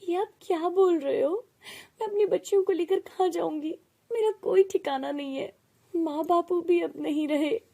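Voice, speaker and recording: A woman voicing a gentle, protective widowed mother in a family story, plaintive and pleading. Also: very high-pitched, moderate pace, gravelly, voice pushed up. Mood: tearful pleading.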